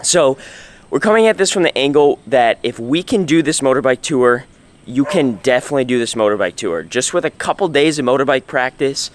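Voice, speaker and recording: A man's voice: mid-range voice, animated, a little fast. A young man talking to a handheld camera at arm's length outdoors, upbeat and enthusiastic as he walks and guides his travel vlog. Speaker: travel vlogger. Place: outdoors on roads and jungle paths. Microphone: handheld selfie camera at arm's length.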